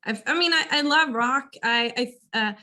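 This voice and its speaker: A young woman with a naturally raspy voice talks as a guest in a casual interview. She hesitates, restarts and fills gaps with "like" and "you know". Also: fairly high pitch, normal speed, pushed and bright, a little hiss or hum. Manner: casual, hesitant, laughs often. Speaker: band vocalist, interview guest.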